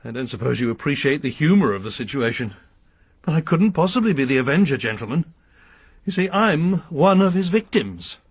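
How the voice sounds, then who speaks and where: An actor playing a grim, pious lodger, a grieving father bent on revenge, who talks in a formal, preaching way with a husky voice. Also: on the high side, big pitch swings, a little fast, no echo, exaggerated voice. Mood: solemn. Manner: formal, sermonizing, quotes scripture. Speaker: lodger under arrest. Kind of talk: radio drama acting.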